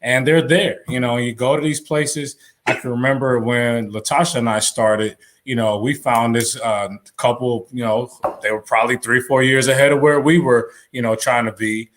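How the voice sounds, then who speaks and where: A man as a guest on a live talk show, giving advice in a relaxed storytelling way, full of "you know.". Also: medium pitch, normal speed, hardly any pauses. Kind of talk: casual advice chat.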